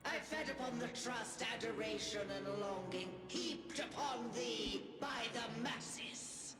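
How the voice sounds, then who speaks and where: An actress playing an ancient godlike female entity in a game, speaking archaic lines in a grandiose, solemn and coldly menacing way. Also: a little high. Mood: grandiose, commanding. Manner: slow, solemn, commanding, archaic phrasing. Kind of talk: archaic theatrical game dialogue. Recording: echoing hall reverb over music.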